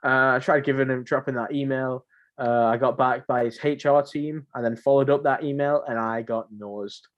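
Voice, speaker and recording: A man's voice: medium pitch, steady tone, normal speed, muffled recording. A man co-hosting a podcast in unscripted casual chat, rambling along in a slangy, jokey way. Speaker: podcast co-host.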